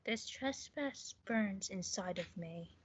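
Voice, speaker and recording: A woman's voice: medium pitch, animated, slow, noisy background. A young woman playing a furious, hot-headed, defiant male youth in a student reader's theatre performance. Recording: dull lo-fi audio.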